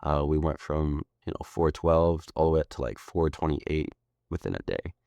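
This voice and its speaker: Deep-voiced male mentor, hoarse and sick, rambling casual walkthrough, close dry sound. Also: expressive, moderate pace, warm tone, no echo. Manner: loose, rambling, frequent tag questions. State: hoarse, sick and losing his voice. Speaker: trading mentor.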